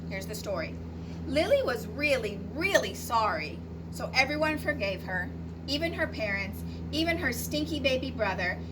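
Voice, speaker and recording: Animated high-voiced woman reading to children. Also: recorded from a distance, noisy background. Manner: expressive, addressing children directly with questions and asides. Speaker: teacher reading a picture book aloud. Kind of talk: animated children's read-aloud storytelling.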